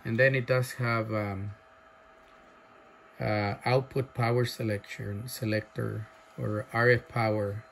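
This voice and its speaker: Slow, halting man demoing a radio. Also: on the low side, flat delivery, gravelly, noisy background. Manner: halting, many fillers, loud clipped mic tests. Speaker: seller demonstrating a radio. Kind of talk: casual off-the-cuff product demo. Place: indoor workshop bench.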